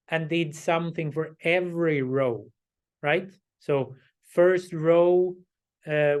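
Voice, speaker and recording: A hoarse middle-aged man lectures a class at an unhurried, deliberate pace. His voice sits a little high for a man, and he keeps clearing his throat. He walks through each step and checks in with "right?" as he goes. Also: darkish tone. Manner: slow, explaining step by step, frequent "right?" check-ins. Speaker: instructor.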